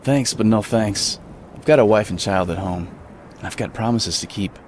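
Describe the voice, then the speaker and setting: A man plays a grim lone gunman facing doom in radio drama style. His male voice is fairly deep and solemn, full of determination. The recording carries noise behind it. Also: normal speed, a little mellow, natural voice. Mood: steely. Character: grim, resolute lone gunman, husband and father. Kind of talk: old-school radio drama acting.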